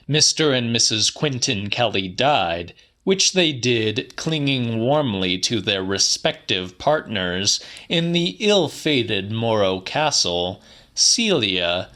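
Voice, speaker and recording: A man narrating a literary audiobook in a slightly dramatic style, with deliberate, theatrical and precise phrasing. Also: mid-range voice, pushed and bright. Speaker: audiobook reader.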